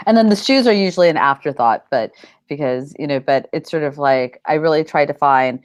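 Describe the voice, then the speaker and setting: Middle-aged female interviewee, low voice, informal and rambling creative type, close dry sound. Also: brisk, dry recording. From United States. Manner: rambling, informal, frequent "like" and "you know". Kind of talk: casual interview chat.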